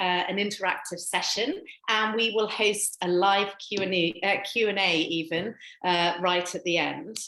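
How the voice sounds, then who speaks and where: A woman's voice: medium pitch, fairly bright tone. Woman, webinar host, wellbeing coach, upbeat, friendly, video call, echoey room. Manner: friendly, upbeat, frequent "uh" fillers. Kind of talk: hosting an online webinar.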